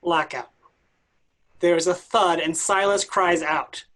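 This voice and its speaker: A man's voice: fairly high pitch, steady tone, slow pace, a bit bright. A man reading stage directions as scripted narration at a play reading.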